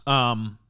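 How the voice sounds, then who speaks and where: A man's voice: medium pitch, bright, forceful tone. A man hosting a livestream, reading chat comments aloud in a rambling, profane, casual way. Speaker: livestream host.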